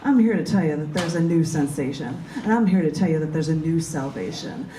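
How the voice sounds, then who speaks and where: Low-voiced woman poet in an echoey room. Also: expressive, fairly quick, dark tone, a little hiss or hum. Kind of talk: open mic poetry reading with casual asides. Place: large bookstore open mic, indoors. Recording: echoey room, distant microphone.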